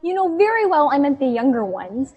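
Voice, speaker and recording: A woman's voice: high-pitched, very animated, brisk, highs cut off. A young woman playing a sensible, earnest older teenager in a sci-fi radio play, no-nonsense. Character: sensible older teenager keeping the group calm.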